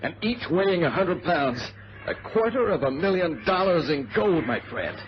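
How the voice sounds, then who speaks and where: A man's voice: sits high, big pitch swings, moderate pace. A man playing a greedy gold thief, dismissive of danger, in an old radio adventure drama. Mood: gleeful scheming. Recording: muffled, noisy vintage radio recording.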